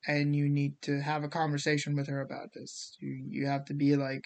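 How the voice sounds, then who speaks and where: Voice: medium pitch, completely flat delivery, brisk, a little mellow. A speaker of unclear sex, from India, with an American accent that carries traces of Indian, giving a casual, opinionated rant in a theatrical, sarcastic manner. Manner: theatrical, sarcastic, swearing, acts out mock quotes. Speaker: host of the show.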